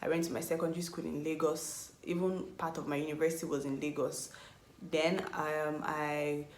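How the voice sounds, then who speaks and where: Low-voiced Nigerian woman vlogging. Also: flat delivery, normal speed, a little hiss or hum. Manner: rambling and self-correcting, often searching for words. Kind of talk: casual talk to camera. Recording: echoey room.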